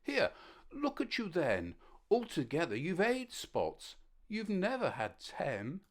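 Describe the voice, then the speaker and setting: A woman voicing a kind, calm male ant doctor in a storybook, gentle and reassuring. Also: lowish voice, takes it slowly, full character voice. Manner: gentle, reassuring bedside manner. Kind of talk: storybook character voice.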